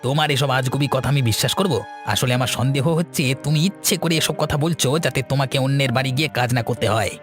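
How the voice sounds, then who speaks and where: A man voicing an excitable, cowardly village villain in a melodramatic cartoon. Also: medium pitch, fast, over music, close to an everyday voice. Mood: scoffing. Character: scoffing village villain, a cowardly murderer begging for life.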